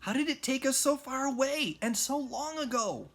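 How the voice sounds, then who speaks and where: A man's voice: extremely high pitch, moderate pace, a bit bright, voice pushed up, heavily acted. A man as an earnest, puzzled young boy. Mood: bewildered.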